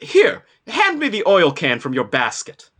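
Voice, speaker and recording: A woman's voice: on the low side, wildly swooping pitch, unhurried, full character voice. A woman playing a friendly, simple-minded scarecrow in a live table read, earnest and inquisitive. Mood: curious. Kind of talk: live table read of a children's fantasy story.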